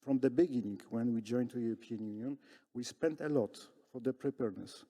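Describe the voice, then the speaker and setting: A man with a Polish accent speaks on a conference panel, stopping and restarting often and filling gaps with "uh" and "let's say". His voice is dark and mellow. The sound rings with a long reverb from a large hall. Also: mid-range voice. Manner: halting, many fillers and restarts.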